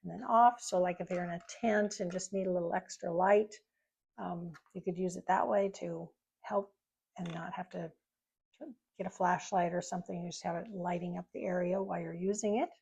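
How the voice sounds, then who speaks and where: A woman's voice: lowish voice, fairly even intonation, moderate pace, gravelly, a little mellow, slightly rough. A woman giving a casual, informal product review, explaining features in a rambling, conversational way.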